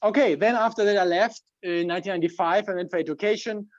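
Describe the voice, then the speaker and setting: A man's voice: high-pitched, fast talker. A middle-aged man from Germany with a German accent, giving an informal slide presentation about himself in explanatory, piecemeal phrases over a video call. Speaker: club member presenting himself. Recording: dry, dull video-call audio.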